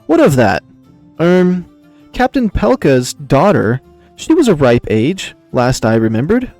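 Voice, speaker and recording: Guy doing a wry army general, loyal but needling, visual novel, pretty lively delivery. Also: on the high side, unhurried, dry recording, faint music behind. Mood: musing, sly. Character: teasing male army general, loyal confidant to his emperor.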